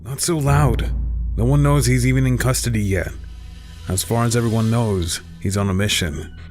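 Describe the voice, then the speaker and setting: A man playing an earnest, principled ninja investigator in an audio drama, measured and subdued. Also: mid-range voice, darkish tone, soft background music, close to an everyday voice. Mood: troubled.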